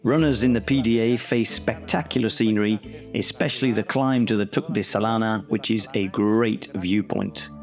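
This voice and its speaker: A man giving measured, scripted documentary narration about a mountain race. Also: fairly low pitch. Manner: measured, informative. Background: music.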